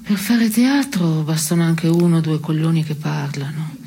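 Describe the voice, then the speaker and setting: Dry absurdist stage woman: cynical, laconic deadpan, lowish pitch, faint noise on the broadcast. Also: moderate pace. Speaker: stage actor. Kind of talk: absurdist two-hander theatre dialogue. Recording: noisy radio broadcast of theatre piece.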